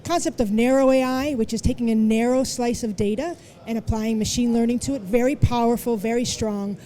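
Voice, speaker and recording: A woman speaking as a panelist at a conference, measured, with small restarts. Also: fairly high pitch, steady tone, dark tone.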